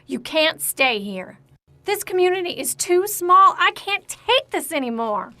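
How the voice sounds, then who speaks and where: A woman's voice: very high-pitched, very animated, measured pace, sharp tone, dry recording, exaggerated voice. A woman playing an upset, accusing mother fed up with her daughter, tense and reproachful, in a stage drama. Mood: exasperated, accusing.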